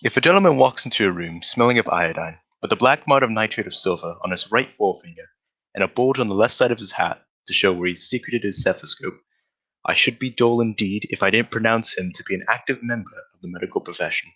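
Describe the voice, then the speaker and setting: A man playing a brisk, self-assured gentleman detective in a 1940s-style radio drama, speaking precisely with a lightly condescending, lecturing air. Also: fairly low pitch, rough recording. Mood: brisk, confident.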